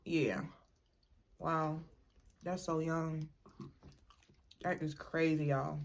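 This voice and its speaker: A woman's voice: sits low, fairly even intonation, drawn-out words, gravelly. A young woman talks casually to her viewers. She rambles a little, fills gaps with "like" and "you know", and keeps calling the audience y'all. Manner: chatty, addresses viewers as y'all, many fillers. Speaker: mukbang vlogger. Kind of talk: casual storytime chat.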